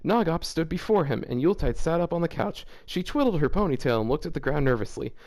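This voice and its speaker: Young guy reading fanfic out loud, lively voice, slightly high, keeps laughing. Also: fast talker. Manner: laughs often, animated reactions. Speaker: fanfiction reader. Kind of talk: comedic reading aloud with commentary.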